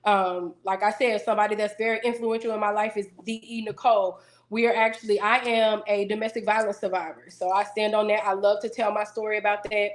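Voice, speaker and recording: Woman guest, deeper-voiced, steady and businesslike in casual interview talk. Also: brisk. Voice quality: deeper singing voice. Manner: self-assured, businesslike, steady. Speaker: singer-songwriter guest.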